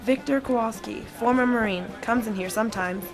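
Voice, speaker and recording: A woman's voice: fairly high pitch, a little fast, soft background music, natural voice. A woman playing a surly, suspicious bartender and henchman in a detective radio drama, blunt and slangy. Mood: blunt, wary. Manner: slangy, blunt, folksy tough talk.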